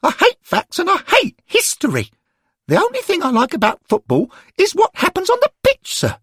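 A man voicing a nervous, sulky schoolboy ghost in a high squeaky falsetto who whines and ends his lines with "sir". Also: huge pitch swings, moderate pace, a bit bright, no echo, clean studio sound, full character voice. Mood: whiny.

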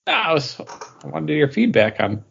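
A man's voice: fairly high pitch, big pitch swings, normal speed, vocal fry, fairly bright tone, a little hiss or hum. A man chatting casually as he hosts a podcast. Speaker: podcast host. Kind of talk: casual chat. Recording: dull, lo-fi podcast audio.

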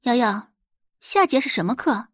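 A woman voicing a confident, direct and tough new high school student in an audiobook drama. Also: expressive, moderate pace, fairly bright tone, husky, no room sound, studio quality. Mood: friendly, confident. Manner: cool, assured, direct. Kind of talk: audiobook character acting.

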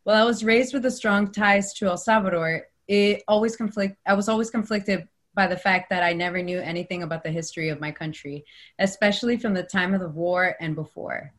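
A woman moderating a Q&A, reading out audience questions in a plain, measured way, with short "um" pauses between phrases. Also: medium pitch, steady tone. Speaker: event moderator.

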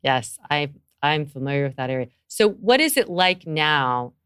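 A middle-aged woman with a notably low, smooth voice hosts a conversation with a peer. She talks in an eager, chatty way, agreeing often and laughing easily. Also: expressive, normal speed, fairly bright tone. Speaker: podcast host, yoga teacher. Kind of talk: conversational interview.

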